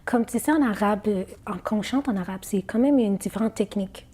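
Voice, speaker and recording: A woman's voice: mid-range voice, a little fast, dark tone, slightly rough. A woman singer whose first language is Arabic, answering interview questions in a hesitant way, with many fillers and restarts.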